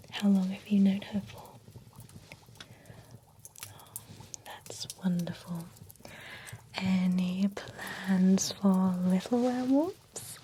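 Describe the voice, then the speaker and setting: A woman in an ASMR roleplay, whispering softly as a nosy, genteel female vampire running a spa, chatty and giggly, close to the microphone. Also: medium pitch, close to an everyday voice, low-quality recording. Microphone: close sensitive ASMR microphone.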